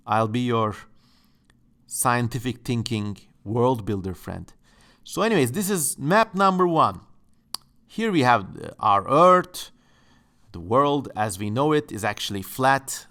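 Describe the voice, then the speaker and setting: A man speaking English hosts his channel from home, his voice sitting at an ordinary middle pitch. He muses along casually and playfully, with a tongue-in-cheek, joking manner. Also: a bit bright. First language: Turkish. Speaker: channel host. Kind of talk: casual exploratory commentary.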